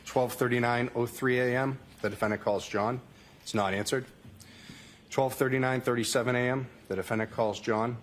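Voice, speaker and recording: A man's voice: medium pitch, fairly even intonation, moderate pace, a little hiss or hum. A man testifying as a state trooper in court, reading out records in a matter-of-fact, formulaic way. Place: courtroom witness stand.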